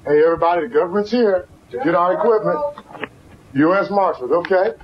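A man with an American accent calling out loudly and urgently on an archival tape. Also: sits high, brisk, crowd noise. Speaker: pirate radio broadcaster and activist. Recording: dull, noisy archival tape.

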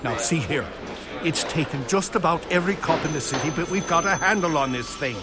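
An actor in a radio drama playing a harried, dismissive police officer who talks in an officious, exasperated way. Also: male voice, fairly high pitch, animated, a little fast, background noise, natural voice. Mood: exasperated, placating.

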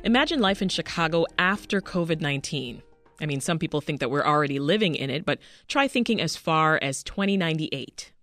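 A woman's voice: deep voice, animated, brisk, a bit bright, faint music behind. A woman from Toronto hosting a radio interview. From Toronto, Canada. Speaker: radio show host. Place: dry radio studio. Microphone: close studio microphone.

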